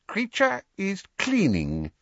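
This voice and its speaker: Storybook creature role: aged servant elf, bitter mutterer, obsequious, husky, wildly swinging pitch. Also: male voice, huge pitch swings, moderate pace, bright, forceful tone, dry recording, dull audio, heavily acted. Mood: fawning. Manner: muttering, obsequious, refers to himself in third person. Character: bitter old male house-elf servant, muttering and fawning.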